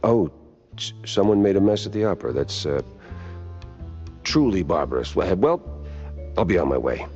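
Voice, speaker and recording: A low-voiced, world-weary noir gumshoe mouse, with dry sarcasm and lively swings in tone. The sound is slightly dull, with music underneath. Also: male voice, moderate pace, pauses often. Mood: dry, musing. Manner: dry, sardonic. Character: weary wisecracking hardboiled male mouse private detective. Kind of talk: noir cartoon game acting.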